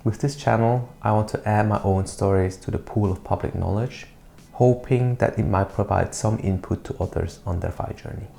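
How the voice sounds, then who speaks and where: Low gravelly man narrating a personal vlog. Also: fairly even intonation, normal speed, dark tone, a little hiss or hum. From Switzerland. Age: 30s. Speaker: YouTuber.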